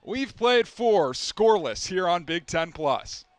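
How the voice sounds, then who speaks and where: A young man doing live play-by-play, with a quick, crisp call that climbs into excited shouts on big plays. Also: sits high, no echo. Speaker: play-by-play announcer. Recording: broadcast with loud arena crowd and noise.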